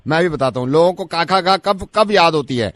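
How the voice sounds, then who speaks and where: A man making comic radio prank calls, insistent and wordy, keeping a polite, deadpan manner. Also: a little high, a little fast, no echo. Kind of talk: comic prank calls in assumed everyday characters.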